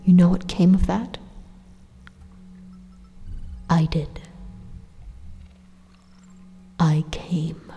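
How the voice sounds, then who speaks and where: Rogue AI for ASMR roleplay, female performer: hushed and breathy, very unhurried, calmly menacing and condescending, drenched in long reverb. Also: lowish voice, dull audio, exaggerated voice. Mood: cold indignation. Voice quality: breathy, whispery. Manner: deliberate, pausing, condescending. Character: calm, menacing supercomputer robot turned evil, coldly persuasive.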